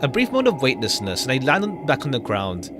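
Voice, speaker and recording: Male game streamer, animated range, narrates on-screen text and chats between passages, music underneath. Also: medium pitch, fast talker. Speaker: streamer playing a visual novel. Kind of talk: reading game text aloud with casual commentary.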